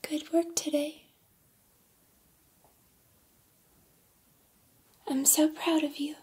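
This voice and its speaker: A woman voicing a gentle, encouraging combat training robot in a soft, breathy, measured ASMR roleplay whisper. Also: high voice, level tone, lightly acted. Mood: warm, encouraging.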